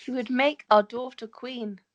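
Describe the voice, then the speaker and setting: A woman reading the part of an insistent highborn wife and mother in an amateur fantasy table read. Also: fairly even intonation, measured pace, muffled recording, natural voice.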